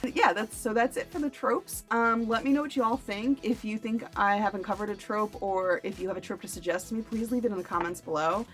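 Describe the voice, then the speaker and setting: A woman's voice: medium pitch, fairly quick, hardly any pauses, faint music behind. A woman chatting casually to camera as a book review vlogger, with playful, self-deprecating asides.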